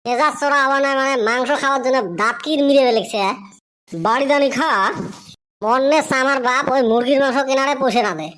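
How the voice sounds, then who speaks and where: A woman's voice: sits high, fairly even intonation, brisk, fairly bright tone, rough recording. A woman voicing a cheeky, complaining village youngster who talks back in a comic cartoon. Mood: irritated.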